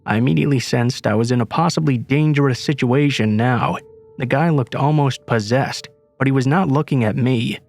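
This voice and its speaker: A man narrates a true horror story in a steady, measured voice. His pitch sits in the ordinary middle range for a man. Quiet music plays faintly underneath. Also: gravelly. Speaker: narrator reading submitted stories.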